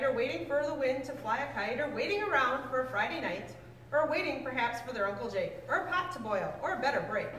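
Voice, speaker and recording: A woman with a high-pitched voice reads aloud to schoolchildren in an expressive storybook style. The sound is distant and reverberant, as in a big echoing room, with faint noise underneath. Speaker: teacher reading aloud to sixth grade students. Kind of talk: children's picture book read-aloud to a class. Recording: echoey hall, distant mic, faint noise.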